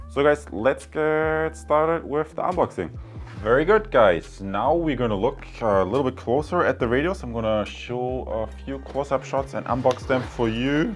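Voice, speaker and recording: A man hosting a casual product review, talking informally and directly to viewers. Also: mid-range voice. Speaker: product review video host.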